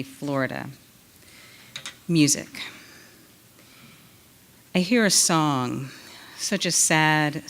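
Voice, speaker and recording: Woman, very low voice, unhurried and slow, expressive poetry reading, close stage mic. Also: slight background noise. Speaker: literary event reader. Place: on stage in a theater. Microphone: stand microphone, close.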